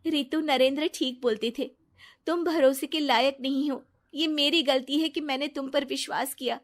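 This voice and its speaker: A woman voicing a naive, soft-hearted young wife, earnest and pleading, in a moral story. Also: high-pitched, fairly even intonation, normal speed, voice pushed up, natural voice. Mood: hurt, pleading.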